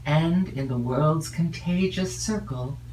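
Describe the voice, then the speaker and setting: A woman reading a classic novel as an audiobook narrator, in a measured, expressive way with drawn-out phrases. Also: low voice. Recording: roomy, distant mic with faint noise.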